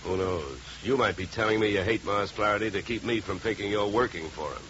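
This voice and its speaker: An American actor playing a tough, wry private investigator in a 1950s radio drama, with a dry, controlled, sardonic delivery. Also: male voice, fairly low pitch, fast, a bit bright, natural voice. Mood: dry, probing. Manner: hard-boiled, dry, controlled. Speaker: lead actor and first-person narrator. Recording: muffled, noisy 1950 radio recording.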